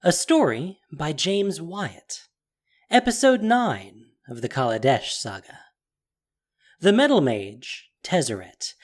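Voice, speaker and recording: A man narrating a scripted audio drama story with theatrical phrasing and emphatic stresses. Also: fairly high pitch. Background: music.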